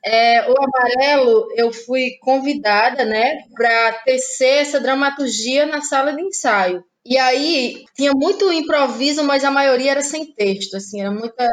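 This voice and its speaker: Playwright guest, woman from northeastern Brazil, slightly high voice, reflective and unhurried, frequent self-corrections. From Ceará, Brazil. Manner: thoughtful, halting, many restarts and fillers. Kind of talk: casual interview conversation.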